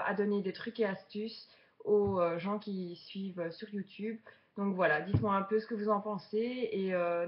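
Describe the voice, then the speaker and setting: Young woman, dull lo-fi sound. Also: mid-range voice. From Belgium, Charleroi region. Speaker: beginner beauty vlogger. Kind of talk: casual unboxing chat to viewers.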